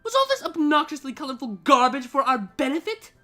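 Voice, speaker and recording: Performer as a sharp, high, scornful female siren. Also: sing-song, fairly quick, faint music behind, heavily acted. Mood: sarcastic, scornful. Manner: sardonic, snapping, exasperated. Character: bossy, sarcastic, irritable female siren, scornful and cutting.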